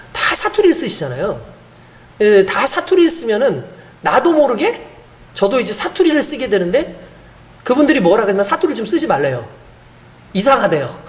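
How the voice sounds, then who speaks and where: A man's voice: high voice, sing-song, moderate pace. A male pastor delivering an earnest, emphatic sermon, asking the congregation rhetorical questions and chuckling now and then.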